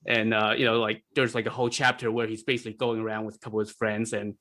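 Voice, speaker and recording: A man's voice: lowish voice, flat delivery, quick pace, pushed and bright. A man speaking as a podcast guest, explaining things casually and often rephrasing as he goes.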